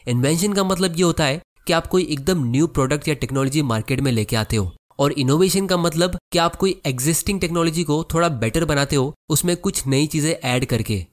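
A man with a fairly high voice delivers a scripted explainer narration as a finance educator. He speaks straight to the viewers as friends and slows right down to read out each question. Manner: addresses viewers as friends, reads checklist questions slowly.

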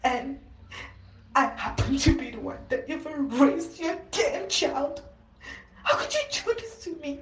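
Casting: actress as betrayed twin sister, anguished and accusing, highish voice, melodrama, recorded in an echoey room. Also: female voice, expressive, measured pace. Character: betrayed, bitter twin sister who raised her nephew. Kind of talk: melodramatic audio-drama acting. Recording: echoey room, distant mic, noisy, clicks.

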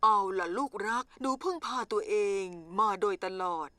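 A woman voicing a caring cartoon mother, affectionate and reasoning, in an animated dub. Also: medium pitch, moderate pace, dry recording. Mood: concerned.

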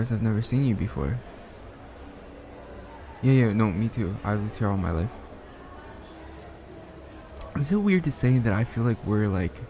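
A man acting a shy, smitten young man in an ASMR roleplay, speaking softly and hesitantly with bashful stammers. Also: on the low side, background noise. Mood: tentative, smitten. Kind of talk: ASMR roleplay, soft one-sided conversational acting.

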